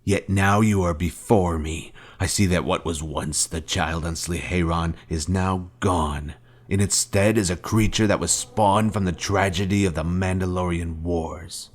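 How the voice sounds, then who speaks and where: An actor playing a vengeful fallen Jedi master in a video game, cold and commanding, with grave, deliberate, formal speech. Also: male voice, deep voice, steady tone. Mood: grave, accusing. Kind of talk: scripted video game villain dialogue.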